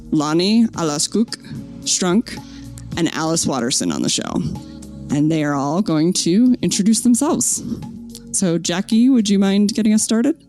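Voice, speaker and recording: A woman's voice: lowish voice, darkish tone. A woman hosting a podcast, introducing guests in a friendly, upbeat way. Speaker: podcast host.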